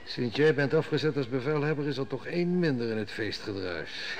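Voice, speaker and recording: A man's voice: medium pitch, animated, fairly quick, slight background noise, close to an everyday voice. A man playing a cynical, mocking court steward in a radio play, who drinks too much and schemes at court. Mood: sardonic. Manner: sardonic, mocking. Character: sly cynical male royal steward, heavy drinker. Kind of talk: 1960s radio drama acting.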